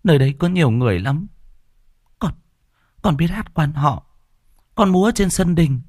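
A man's voice: unhurried, slightly rough, no echo, clean studio sound, heavily acted. A man putting on a terrified young village woman. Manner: high, trembling, panicky pleading.

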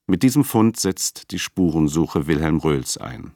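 Very deep-voiced, sober scholarly narrator. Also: male voice, animated, dark tone, no echo, full character voice. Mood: sober, formal. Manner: measured, formal, matter-of-fact. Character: sober male scholarly narrator of a composer's biography. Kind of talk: scripted documentary-style narration in a radio play.

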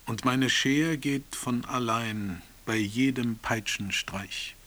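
A male actor playing a grave narrator who recites a convict's lament in measured, declamatory verse for radio drama. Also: medium pitch, bright, forceful tone.

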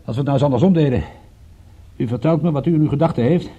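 An actor in a radio drama playing a wry, wary private detective with a dry, hesitant, understated manner. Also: male voice, mid-range voice, quick pace, breathy edge, highs cut off, close to an everyday voice. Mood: hesitant, candid.

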